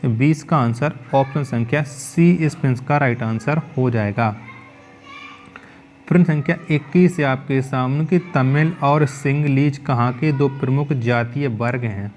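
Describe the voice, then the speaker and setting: Male exam tutor, repeats every answer back, even middle pitch, faint music behind. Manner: repeats each question and answer back to the viewer. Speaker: exam coaching teacher. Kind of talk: quiz question walkthrough.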